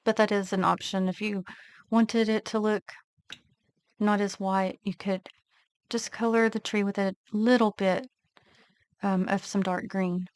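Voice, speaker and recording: A woman vlogger casually narrating her nail art step by step, thinking aloud in a relaxed, unhurried way. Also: medium pitch, clear, resonant tone, dry recording, clean studio sound.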